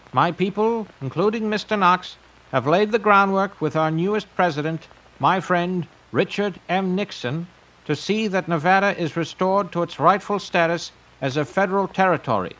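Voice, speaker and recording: An actor playing a self-important old billionaire delivering a formal, oratorical recorded message. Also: male voice, high-pitched, normal speed, a bit bright, rough recording. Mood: solemn, grandiose. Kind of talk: prepared speech in an audio drama.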